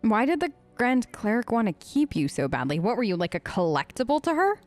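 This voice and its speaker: High-voiced woman, casual game role-play. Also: very animated, a little fast, faint music behind. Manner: informal, hesitant, playfully in character. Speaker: let's play streamer.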